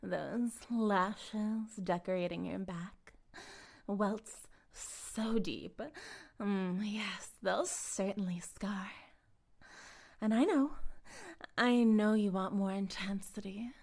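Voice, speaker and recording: A woman playing a smug, possessive female cult leader in an intimate roleplay monologue, speaking deliberately and teasingly, often slipping toward a breathy whisper. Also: mid-range voice, darkish tone. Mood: gloating, seductive.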